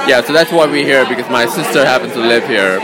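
Casting: man from Sri Lanka, rambling and hesitant, loud crowd chatter behind. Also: medium pitch, fast. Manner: rambling, hesitant, self-correcting. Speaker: interview guest. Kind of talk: casual interview chat. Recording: crowded pub smoking area.

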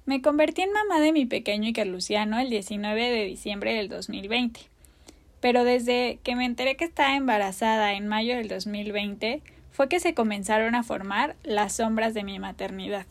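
Mexican woman in her thirties, higher voice. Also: normal speed. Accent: Mexican Spanish. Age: 30s. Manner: open, earnest, warm self-introduction. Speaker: podcast host. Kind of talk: personal podcast monologue.

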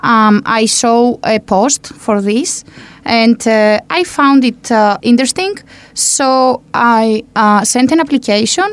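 A woman's voice: on the high side, takes it slowly, clean tone. A woman guest answering interview questions hesitantly, with many fillers.

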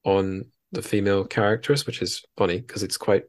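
Male podcast host, low register, chatty and self-deprecating, loosely rambling delivery. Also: fairly even intonation, moderate pace. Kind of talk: casual conversational interview.

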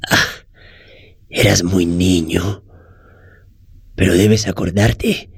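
An actor playing a weary old peasant father in a radio drama, haunted and self-justifying, speaking haltingly and ruminatively. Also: fairly low pitch, clean studio sound. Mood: bitter, defensive. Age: older. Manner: slow, halting, ruminative delivery. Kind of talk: dramatic monologue, radio play acting. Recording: roomy echo, faint music behind.

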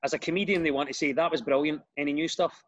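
A man's voice: a little high, steady tone, fast talker. Glaswegian man telling comic stories. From Scotland. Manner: storytelling, self-deprecating. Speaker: comedian guest. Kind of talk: casual chat.

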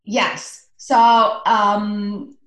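A woman in her forties with a Romanian accent, a relationship coach speaking earnestly in a casual interview, often pausing on fillers. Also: mid-range voice, little pitch movement, a bit bright, roomy sound.

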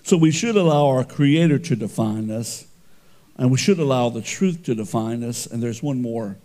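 A middle-aged man preaching a sermon as pastor, with a loud, carrying voice and an earnest, conversational delivery full of restarts. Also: mid-range voice, expressive, measured pace, long pauses. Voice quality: loud voice that carries.

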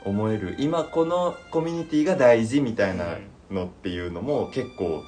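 A man's voice: medium pitch, animated, moderate pace, darkish tone, soft background music. A man in a casual creative chat, thinking aloud and acting out imagined lines as he goes. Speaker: podcast member discussing a voice drama plan.

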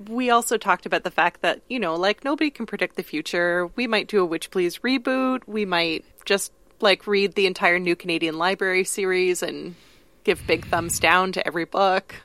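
A woman co-hosting a podcast in loose, casual chat. She is playful, making joking asides and backing up her partner with quick agreements. Her voice sits mid-range and the recording is dry. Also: mid-range voice, fairly bright tone, no echo. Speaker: podcast co-host.

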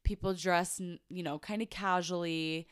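A young woman co-hosting a chat show with a stuffed-up, congested nose. Her voice sits a little low and stays smooth. She talks in an opinionated, chatty way, recorded dry and close. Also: steady tone, normal speed, dry recording. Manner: chatty, opinionated, laughs often. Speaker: podcast co-host. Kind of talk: casual chat.

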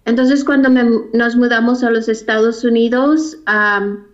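A Mexican woman with a fairly high voice recounts her own path as an interview guest, pausing and searching for words as she reflects. The recording sounds muffled and lo-fi. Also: steady tone. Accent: Mexican Spanish. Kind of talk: personal storytelling in an interview. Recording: dull lo-fi recording.

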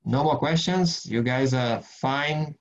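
Man, mid pitch, gravelly, group study leader on a lo-fi video call. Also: slow pace. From Brazil. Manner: earnest, rambling, frequent restarts and fillers. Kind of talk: casual teaching and prayer in an online group session.

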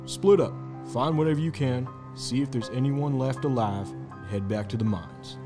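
A man's voice: medium pitch, moderate pace, music in the background, close to an everyday voice. A man playing a skeptical leader of a western search party in an audio drama. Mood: skeptical, commanding.

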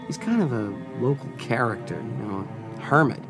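A man's voice: mid-range voice, expressive, moderate pace, creaky, music in the background. An actor playing a curious, dreamy young farm boy in a radio drama, with an earnest, wondering manner. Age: young adult.

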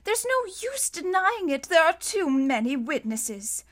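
A gentle lady judge in a storybook, acted by a woman, with a high voice. She speaks carefully and regretfully, measuring out each phrase. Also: animated, normal speed, exaggerated voice. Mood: sad, resigned. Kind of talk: audiobook character voice.

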